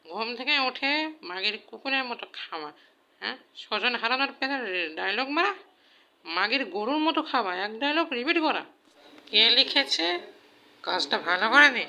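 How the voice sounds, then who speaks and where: A woman's voice: a little high, animated, normal speed, pauses often, sharp tone. A woman voicing a scheming, melodramatic, self-admiring female political leader in a satirical cartoon. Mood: bossy. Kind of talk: satirical cartoon dub acting.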